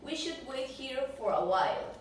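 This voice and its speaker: Casting: woman as concerned sea creature for puppet show, halting non-native English, distant in a very echoey room. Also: high voice, sing-song, a little hiss or hum. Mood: urgent. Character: caring, alarmed sea creature friend in oil spill. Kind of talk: amateur puppet show acting.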